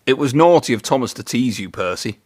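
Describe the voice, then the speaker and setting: A sensible male engine, voiced by a man in a children's story. He is sympathetic and knowing, with a measured manner and a dry, close sound. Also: medium pitch, animated, slightly rough, no echo. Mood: disapproving.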